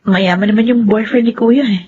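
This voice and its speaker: An actress playing a young, outspoken Filipino daughter in a radio drama, exclamatory and full of fretful questions. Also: female voice, medium pitch, fairly quick, darkish tone, lo-fi sound, lightly acted. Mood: gossipy, disapproving. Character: young outspoken daughter, fretful about her older brother. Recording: faint background noise.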